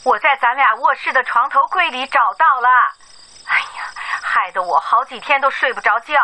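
A woman's voice: high voice, lively intonation, normal speed, sharp tone, slight background noise, poor audio. An actress playing an anxious, loving older woman of the family in a radio drama, fretful and emotional.

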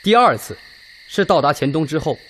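An actor playing an earnest Red Army political commissar in a radio drama, setting out a situation in a measured, methodical way. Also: male voice, a little high, very animated, a bit bright. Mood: grave, earnest.

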